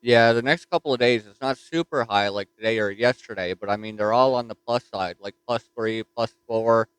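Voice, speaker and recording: A man with a Newfoundland accent chatting casually as a ham radio operator from his home station, relaxed and easygoing. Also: medium pitch, moderate pace, clear, resonant tone, dry recording, rough recording. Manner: easygoing, says "roger that".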